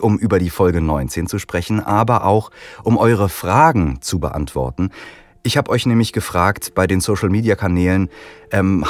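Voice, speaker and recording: A man's voice: fairly low pitch, darkish tone. A man hosting a casual podcast chat, explaining things in a friendly way while he has a cold. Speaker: podcast host.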